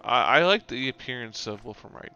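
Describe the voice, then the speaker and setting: A man's voice: medium pitch, lively intonation, a little fast, pushed and bright, lo-fi sound, faint music behind. Easygoing man making dry jokes. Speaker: let's-play co-host. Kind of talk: casual gaming chat.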